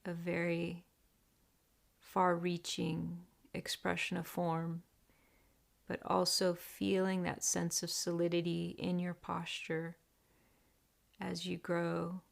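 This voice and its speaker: A woman leading a guided meditation in a deliberate, gentle, hushed manner with long pauses. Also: on the low side, creaky. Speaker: meditation teacher.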